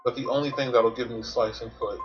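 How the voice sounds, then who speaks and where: A man's voice: mid-range voice, fairly even intonation, brisk, highs cut off, over music. Casual male gamer thinking aloud. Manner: thinks aloud, explains to himself. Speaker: video game streamer. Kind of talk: casual gameplay commentary, reading tutorials aloud.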